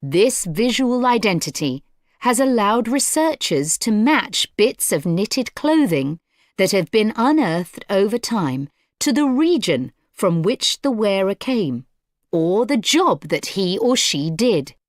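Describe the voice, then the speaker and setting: A woman gives a scripted lecture at an unhurried, deliberate pace. Her intonation rises and falls widely as she explains each point clearly. The sound is dry and close. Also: medium pitch, big pitch swings, no room sound. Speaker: lecturer in an exam listening recording.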